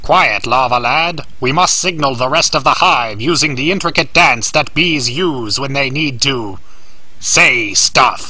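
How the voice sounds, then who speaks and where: Man playing a pompous, raspy would-be bee superhero. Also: medium pitch, unhurried, bright, forceful tone, dry recording, poor audio. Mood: grandiose. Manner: grandiose, melodramatic. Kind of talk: anime parody dub.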